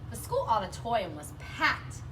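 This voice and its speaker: A woman's voice: fairly high pitch, wildly swooping pitch, normal speed, music in the background. A woman co-host reading a children's picture book aloud, with casual chat and short agreeing asides. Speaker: storybook reader, co-host. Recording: distant microphone, noisy room.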